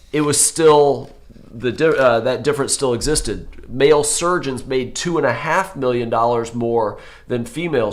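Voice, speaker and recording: A man in his forties, an orthopedic surgeon hosting a live health show, with a voice going hoarse from a cold, rambling and correcting himself with many fillers. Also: medium pitch. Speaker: host.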